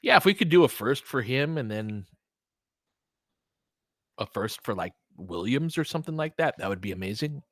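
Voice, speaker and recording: Casting: American male sports streamer, bright forceful voice, close dry sound, informal play-by-play mixed with chat. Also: mid-range voice, very animated, moderate pace, long pauses, no echo. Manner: conversational, reacting to chat comments. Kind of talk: casual live sports commentary and chat.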